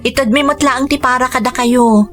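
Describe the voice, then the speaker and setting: A woman's voice: sits high, steady tone, moderate pace, loud music behind the voice, natural voice. A woman playing an apologetic, dutiful grown daughter in an Ilocano radio drama, placating and deferential. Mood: pleading, placating. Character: apologetic, dutiful adult daughter pleading for patience.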